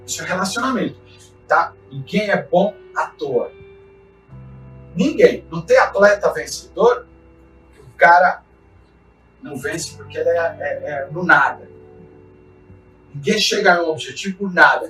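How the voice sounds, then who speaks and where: A man's voice: on the high side, animated, moderate pace, long pauses, fairly bright tone, soft background music. Man, distant roomy sound. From Chile. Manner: earnest, repeats short tag questions. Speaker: mountaineer and expedition guide. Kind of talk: reflective motivational talk.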